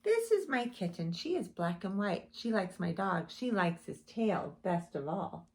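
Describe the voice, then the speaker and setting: Animated woman reading to preschoolers. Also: measured pace. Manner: animated storytime voice with big pitch swings. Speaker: preschool teacher. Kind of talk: reading a picture book aloud to children.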